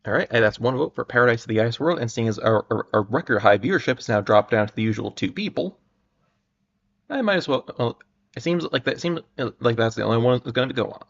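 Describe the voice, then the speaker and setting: Gravelly-voiced man chatting on a livestream. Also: medium pitch, lively intonation, fast. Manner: hesitant, rambling, self-interrupting. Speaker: livestream host. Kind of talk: casual livestream chat to viewers. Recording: dull, lo-fi microphone sound.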